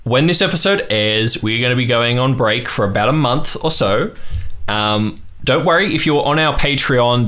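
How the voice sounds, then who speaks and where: A man's voice: mid-range voice, fairly bright tone, clean tone. A man hosting an improvised fiction podcast as game master, moving between casual announcements and narration.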